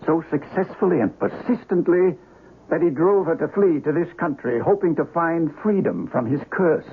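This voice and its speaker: An actor playing a grave, learned investigator of the supernatural in a radio mystery, speaking formally and deliberately. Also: male voice, on the high side, close to an everyday voice. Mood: grave, knowing. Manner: precise, formal, deliberate, theatrical. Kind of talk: radio mystery drama acting. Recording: noisy dull radio broadcast recording.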